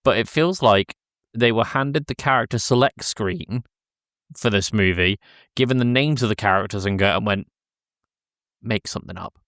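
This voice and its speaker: A man's voice: fairly low pitch, moderate pace, pauses often, fairly bright tone, no echo, studio quality. A man in his thirties co-hosting a podcast, giving casual, opinionated talk about film. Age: 30s. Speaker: podcast co-host.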